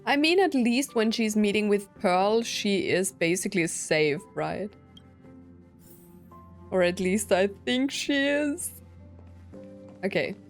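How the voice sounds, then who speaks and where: A woman streamer reacting to a game in an informal, slangy way. She thinks aloud in a mid-pitched voice, with faint music playing behind her. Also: expressive, slow, pauses often. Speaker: gaming streamer. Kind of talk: casual reaction commentary over a game.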